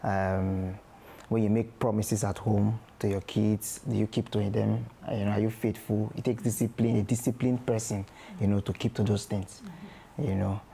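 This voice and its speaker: Gravelly, dark-voiced father, halting speech. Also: lowish voice, level tone, slight background noise. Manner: slow, halting, many ums and restarts. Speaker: guest father. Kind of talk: candid podcast conversation.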